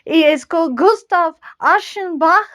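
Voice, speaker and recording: A woman with a French accent hosting a casual book review. She talks in halting phrases, restarts often, and sprinkles in French words. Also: extremely high pitch, fairly bright tone. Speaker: book channel host.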